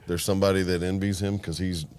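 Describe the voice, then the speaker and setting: A man hosting a podcast interview, easygoing and quick with enthusiastic reactions. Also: low voice, little pitch movement, darkish tone. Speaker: podcast host. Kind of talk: casual interview.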